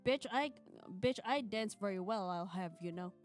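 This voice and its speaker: A woman's voice: medium pitch, animated, moderate pace. A woman hosting a live stream, chatting playfully and self-deprecatingly while reading a visual novel aloud with expression. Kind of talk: live stream chat mixed with expressive narration. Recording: music playing behind the voice. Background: background music.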